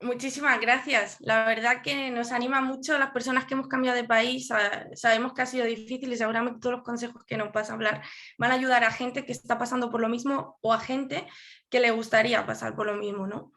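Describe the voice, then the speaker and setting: A woman's voice: on the high side, steady tone, brisk, sharp tone. Woman moderating an online panel. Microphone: video call.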